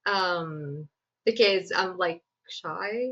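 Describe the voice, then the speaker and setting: A woman with an Egyptian accent talks freely about writing in a relaxed interview. She fills her speech with "like" and breaks into laughter often. The recording sounds a bit muffled. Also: fairly low pitch, drawn-out words. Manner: chatty, laughs often, lots of "like" fillers. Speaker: screenwriter guest. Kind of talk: casual interview answers.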